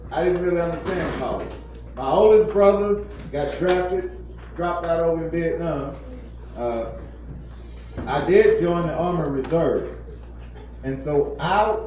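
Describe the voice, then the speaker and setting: A man in his fifties, a plant manager, giving an earnest, repetitive motivational talk to a group of children. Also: a little high. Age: 50s. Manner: earnest, repetitive, preacherly emphasis. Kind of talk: informal motivational talk to kids. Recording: echoey, distant, muffled room recording with faint noise.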